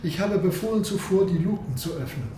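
An actor playing an imperious, unshakeable submarine captain in a radio drama, speaking with formal, mocking calm. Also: male voice, high-pitched, brisk, noisy background. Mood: haughty, assured. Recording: echoey, distant, with music behind.

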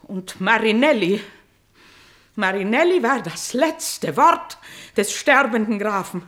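A woman's voice: on the high side, animated, takes it slowly, fairly bright tone. An actress playing a distraught, shrewd noble mother in a classical radio drama, intense and pointedly emphatic in her accusations. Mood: accusing, bitter. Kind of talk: classical German stage-play radio acting.

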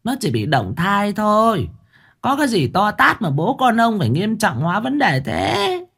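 A man doing a shrill scolding village wife. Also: sing-song, measured pace, creaky, fairly bright tone, heavily acted. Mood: sneering. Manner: whining, scolding, wailing. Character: sharp-tongued older village wife, sulky.

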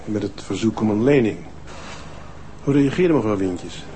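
An actor playing a calm middle-aged police detective who questions people in a measured way, in a Dutch TV drama. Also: male voice, lowish voice, slight background noise, close to an everyday voice.

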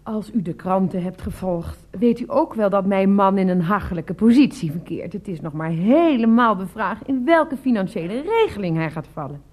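An actress plays a sardonic wife who takes charge in a satirical radio play. Her medium-pitched voice moves freely up and down. She is brisk, dry and curt. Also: animated, a little mellow, natural voice. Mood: brisk, explaining.

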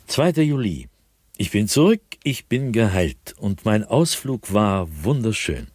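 A man playing an anguished, fearful, solitary diarist in a radio drama, speaking in a husky, brooding voice with sudden swells and hushed drops. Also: on the low side, sing-song, measured pace, no echo. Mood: awed. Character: anguished, fearful, solitary male diarist haunted by nightly dread. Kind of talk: German radio drama monologue, diary read dramatically.

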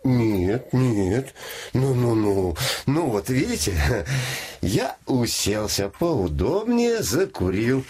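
An actor playing an earnest, curious young scholar in an audio play, polite and inquisitive, with small hesitant murmurs. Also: male voice, medium pitch, very animated, takes it slowly, mellow, husky, full character voice. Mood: coaxing, attentive. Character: earnest, curious young male scholar visiting a foreign land. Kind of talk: Russian audio play acting.

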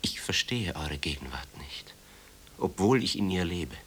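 An actor playing a tormented, brooding composer with solemn, bitter declamation in a German radio drama. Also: male voice, low-pitched, lively intonation, a little slow, fairly bright tone. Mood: anguished. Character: tormented, brooding composer son of an old lady. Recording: hissy 1960s radio play recording.

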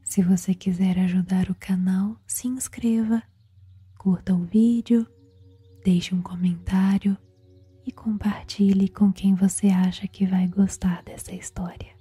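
Brazilian woman for ASMR bedtime storytelling: dark, soothing whisper, unhurried delivery with long pauses, music underneath. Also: medium pitch, fairly even intonation. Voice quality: breathy, whispery. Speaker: guided meditation host and storyteller. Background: background music.

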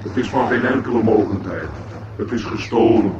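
An actor playing a deeply depressed, self-pitying robot in a radio play, talking in a weary, sarcastic, morose way. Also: male voice, moderate pace, roomy sound, far from the mic, lightly acted. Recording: muffled, very noisy old radio drama recording.

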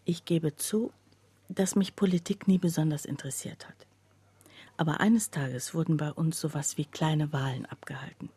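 An actress playing a troubled woman who recounts her own breakdown in a radio play, with a husky, breathy voice. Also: low-pitched, moderate pace, mellow. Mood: confessional. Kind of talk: scripted first-person radio play narration.

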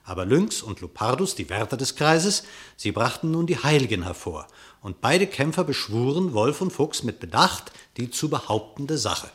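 A man narrating a verse fable in a radio play, in a measured storytelling manner. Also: medium pitch, sing-song, a bit bright.

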